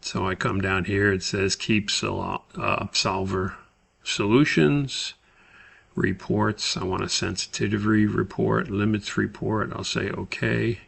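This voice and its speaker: A man giving a step-by-step spreadsheet tutorial as an instructor, explaining deliberately. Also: fairly low pitch, steady tone, gravelly, a bit bright, husky.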